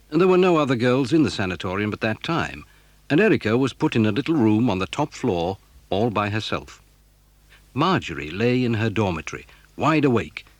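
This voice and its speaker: A man's voice: on the low side, no echo. A man with an English accent reading scripted storybook narration for a children's audio drama.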